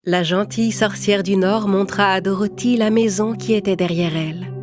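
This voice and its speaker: A woman's voice: mid-range voice, steady tone, moderate pace. Woman narrating a children's story over music.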